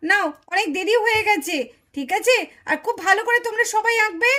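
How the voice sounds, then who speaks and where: A woman's voice: very high voice, brisk, sharp tone. A woman voicing a cheerful, bossy but kind auntie doll who instructs children in a puppet story. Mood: scolding, brisk. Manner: exclaiming, instructing, chatty.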